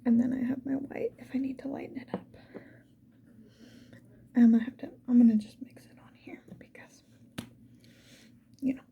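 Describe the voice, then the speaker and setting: A woman doing casual ASMR, whispering softly and musing to the viewer in an unhurried get-ready-with-me chat. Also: sits high, little pitch movement, creaky. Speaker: ASMR video creator. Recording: muffled lo-fi with background hiss.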